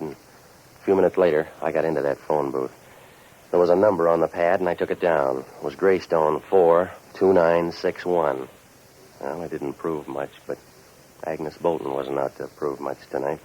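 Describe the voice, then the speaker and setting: A hard-boiled American private eye in a vintage radio drama. The voice sits low and delivers sardonic wisecracks in a terse deadpan. The old recording is muffled and dull. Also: male voice. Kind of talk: 1940s radio noir acting and narration.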